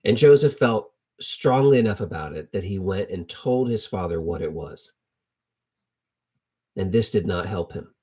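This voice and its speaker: A man's voice: low-pitched, animated, unhurried, dark tone, slightly rough. A middle-aged man teaching from the Bible in an informal, storytelling sermon style, conversational and colloquial, repeating phrases for emphasis. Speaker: preacher teaching a Bible lesson.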